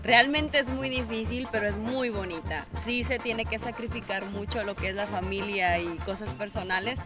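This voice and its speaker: A woman's voice: mid-range voice, normal speed, over loud music. A woman co-hosting outdoors, sending greetings and chatting in an affectionate, exclaiming way. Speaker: co-host. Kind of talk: warm greetings and chat. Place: outdoor fairground patio.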